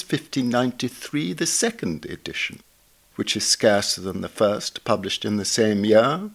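Male reader, lowish pitch, for scripted literary narration. Measured, formal, bookish. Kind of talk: scripted reading of a literary essay.

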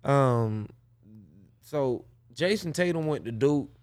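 A man's voice: medium pitch, expressive, slow pace, a little mellow. A man co-hosting a podcast, rambling and profane in casual sports banter. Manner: rambling, stumbling restarts, profane. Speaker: podcast co-host.

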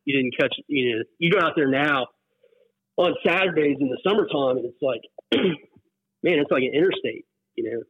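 A man's voice: fairly high pitch, expressive, normal speed, slightly rough, no room sound. An American man in his forties talks casually as an interview guest, reminiscing at an easy conversational level. His voice comes through a narrow, phone-like connection with very little room sound. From West Virginia, US. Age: 40s. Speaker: interview guest, whitewater paddler. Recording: muffled phone-like line, dry.

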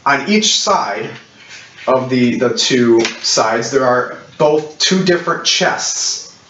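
A man acting as game master, describing scenes in a halting, explanatory way for a tabletop role-playing game. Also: medium pitch, expressive, a bit bright. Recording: roomy, muffled distant mic with clicks, hiss.

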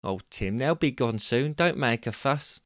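A man voicing a gentle woman who speaks softly and soothingly. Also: mid-range voice, lively intonation, unhurried, dry recording, clean studio sound, lightly acted. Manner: soft, quiet, soothing.

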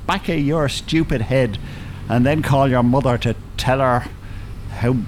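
A man's voice: medium pitch, a little slow, slight background noise, natural voice. An actor playing a sardonic, well-spoken older Dublin man, wordy and mock-formal in a radio drama. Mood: wry, stern.

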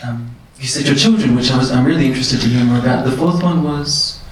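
A man's voice: mid-range voice, fast. A man interviewing a guest, reflective and thoughtful in his questions. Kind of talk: conversational interview. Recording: roomy and distant with faint noise.

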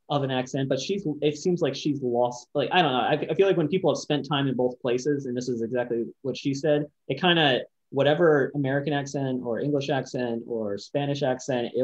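A man's voice: mid-range voice, darkish tone, dull audio. A man hosting a casual interview podcast, playful and teasing, laughing easily with his guests. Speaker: podcast host.

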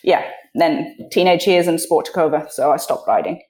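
A woman from Switzerland with a posh British accent, chatting casually as a podcast guest and often pausing to think mid-sentence. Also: low-pitched, lively intonation, darkish tone. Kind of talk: casual interview chat. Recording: roomy echo on the voice.

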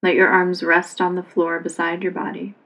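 A woman yoga instructor leading a guided relaxation meditation in a calm, soothing, unhurried manner. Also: on the low side, low-quality recording.

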